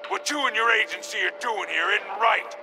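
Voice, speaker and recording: High, creaky defiant captive. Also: male voice, moderate pace, music in the background. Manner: agitated, folksy, defiant. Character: defiant, frightened male street-level captive loyalist. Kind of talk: dramatic video game voice acting.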